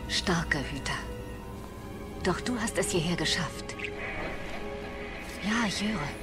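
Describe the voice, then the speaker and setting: A woman's voice: fairly low pitch, expressive, takes it slowly. A woman playing a mysterious, urgent stranger in a radio play, speaking in cryptic, clipped phrases. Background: music.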